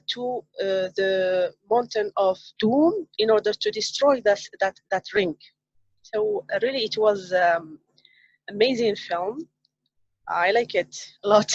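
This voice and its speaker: A woman in her thirties from Morocco, a science teacher, retelling a film on a video call in halting English with many fillers. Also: mid-range voice, vocal fry, dry recording. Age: 30s. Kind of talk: casual storytelling on a livestream. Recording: dull lo-fi call audio.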